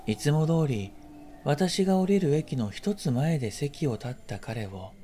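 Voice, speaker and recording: A man reading a first-person literary story as scripted narration, in a quiet, reflective, measured manner. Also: fairly high pitch, expressive, a little hiss or hum. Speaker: story reader.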